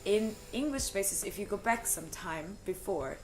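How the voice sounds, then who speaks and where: A woman co-hosting a live stream, explaining her ideas in a relaxed, thoughtful way with frequent fillers and easy laughs. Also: mid-range voice, a little slow. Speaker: co-host of a language stream. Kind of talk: casual discussion on a live stream. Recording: music playing behind the talk.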